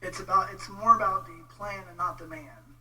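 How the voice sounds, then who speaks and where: A Texan man with a fairly high, very sharp and bright voice rants casually on a livestream. His delivery is halting, informal and exasperated. He sounds a little away from the mic, with faint noise and hum behind him. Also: fairly even intonation, distant mic, rough recording. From Texas, United States. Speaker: vlogger, religious debate commentator. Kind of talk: casual livestream rant replying to viewer comments.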